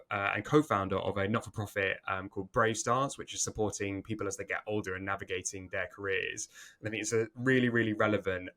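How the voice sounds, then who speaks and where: A man with a somewhat low voice hosts a podcast interview. He talks in halting stretches full of fillers and restarts, and he frames his questions at length. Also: a bit bright. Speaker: podcast host.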